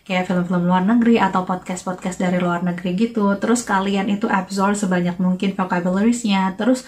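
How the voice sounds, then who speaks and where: A woman's voice: mid-range voice, fairly even intonation, brisk, darkish tone. A young woman from Indonesia giving casual exam-prep tips in a vlog, chatty, with many fillers and English phrases mixed in. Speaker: vlogger.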